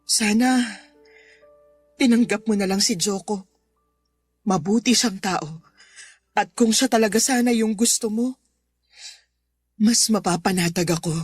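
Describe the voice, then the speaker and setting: A woman's voice: mid-range voice, unhurried, pauses often, husky. A woman playing a worried Filipino mother in a radio drama, speaking to a grown daughter in an earnest, imploring way. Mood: sad, resigned. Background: background music.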